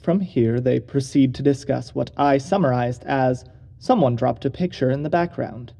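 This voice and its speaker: An actor playing a solemn investigator who narrates a secret agency's audio log in a formal, measured, creaky voice. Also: male voice, medium pitch, warm tone. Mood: measured, wary.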